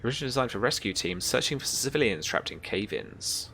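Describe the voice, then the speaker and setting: A man running a tabletop roleplaying game, narrating scenes and explaining rules in a halting, thinking-aloud way. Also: medium pitch, lively intonation, sharp tone. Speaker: game master. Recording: noticeable background noise.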